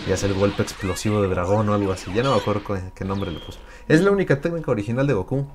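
Low-voiced young man explaining a fighting game. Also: racing, noisy background. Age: young adult. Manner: explains game moves, coaches excitedly. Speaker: gaming channel co-host. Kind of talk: casual gameplay chat. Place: indoor home recording space. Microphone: lapel mic and headphones. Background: game music.

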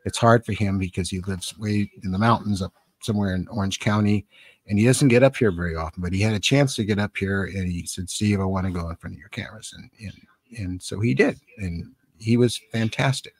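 An American man in his seventies hosting a casual live stream in a rambling, halting, conversational way, close on a studio microphone. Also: fairly low pitch, slightly rough, no room sound. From San Francisco, Northern California, USA. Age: 70s. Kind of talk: casual live-stream chat, reading and answering comments. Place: small cluttered home studio indoors. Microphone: close boom-arm studio microphone with headphones.

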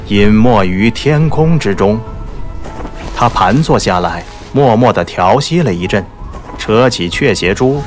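A man narrating a martial arts novel as a dramatic audiobook. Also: on the low side.

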